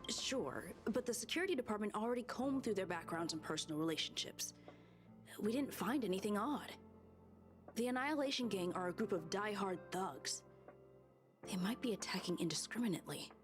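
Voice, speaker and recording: An actress playing an earnest, worried security officer in a video game, serious and plainspoken. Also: female voice, medium pitch, expressive, brisk, music in the background, natural voice.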